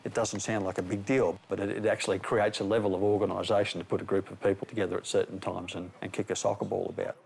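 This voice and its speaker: A middle-aged Australian man, a police area commander, speaking in a measured way with many "uh" pauses in a documentary interview outdoors by a road. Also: low-pitched, flat delivery, vocal fry, a little mellow.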